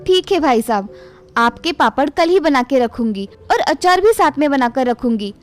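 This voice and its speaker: Woman as a high-voiced, brisk village mother-in-law. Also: lively intonation. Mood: welcoming, businesslike. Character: brisk, thrifty village mother-in-law who sells pickles. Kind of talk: storybook character dialogue.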